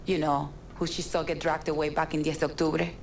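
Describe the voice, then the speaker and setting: A woman's voice: low voice, level tone, brisk, a little hiss or hum. An actress playing a hard-bitten woman smuggler with a blunt, foul-mouthed manner in a game cutscene. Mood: irritated, bitter. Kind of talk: naturalistic video game cutscene acting.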